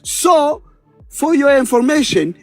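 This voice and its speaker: Very high-voiced halting man in his thirties. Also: sing-song, a little mellow, dry recording, soft background music. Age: 30s. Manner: halting and defensive, many restarts. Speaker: panel participant. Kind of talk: heated online political debate.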